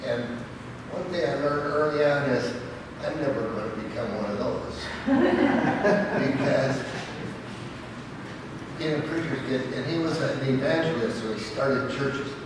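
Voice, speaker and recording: A man's voice: medium pitch, animated, takes it slowly, long pauses. An older man giving a folksy, chuckling talk to a club meeting, with many fillers and a habit of adding "okay?". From Michigan, raised in Southern California. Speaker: guest speaker at a club meeting. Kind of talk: informal anecdotal talk. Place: large echoey meeting hall. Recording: echoey hall from far off with noise.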